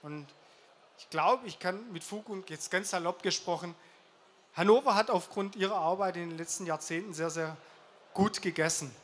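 A man in his forties with a rather high voice, speaking earnestly and haltingly into a handheld mic on a gala stage. Faint noise sits behind him in the large hall. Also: a bit bright. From southwestern Germany. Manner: earnest, halting, with fillers and restarts. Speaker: jury speaker at an awards gala. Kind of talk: stage speech. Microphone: handheld microphone.

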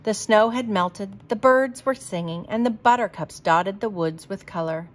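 A woman reading a children's storybook aloud in a deliberate, expressive manner. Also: mid-range voice. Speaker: storybook reader.

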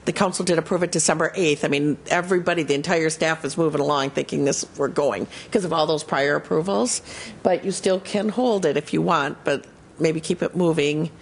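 A woman's voice: low voice, brisk, breathy edge. A woman city manager advising a council with practical suggestions.

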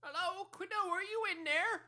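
A woman's voice: very high voice, moderate pace, pushed and bright. A woman playing an anxious, pleading working-class village mother in an amateur comedy audio drama, flustered and apologetic. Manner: flustered, apologetic, non-standard grammar.

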